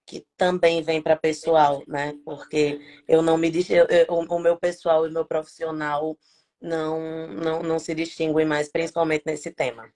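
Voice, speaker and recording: A woman with a northeastern Brazilian accent speaks as a lawyer guest over a video call. Her voice sits a bit low, and she talks in an emphatic, heartfelt way. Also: flat delivery, moderate pace, mellow. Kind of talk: casual live-stream talk.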